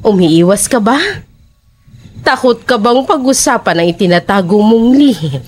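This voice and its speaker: A woman's voice: mid-range voice, lively intonation, close to an everyday voice. A woman playing a sly, taunting, sinister old neighbour in a horror audio drama, drawing out her words mockingly. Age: older. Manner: drawn-out, mocking, cackling between lines.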